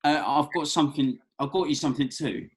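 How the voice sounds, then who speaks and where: A man reading the part of an awkward, eager young man in a comedy script table read, with a hesitant, earnest delivery and a husky voice. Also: fairly high pitch, brisk. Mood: nervous, admiring. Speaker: actor in a script read-through. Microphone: video call.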